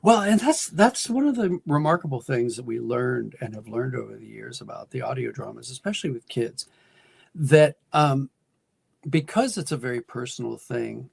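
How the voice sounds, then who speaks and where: A middle-aged American man giving thoughtful, explanatory answers as a guest in an interview, pausing and restarting as he goes. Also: mid-range voice, animated, vocal fry, breathy edge, no room sound. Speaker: guest, audio drama writer and producer.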